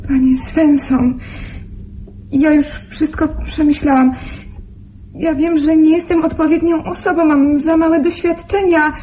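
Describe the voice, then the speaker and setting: An actress in a Polish radio drama playing a devoted, anxious young nurse, earnest and polite. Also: female voice, sits high, little pitch movement, measured pace, mellow, a little hiss or hum, low-quality recording. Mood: apologetic, upset.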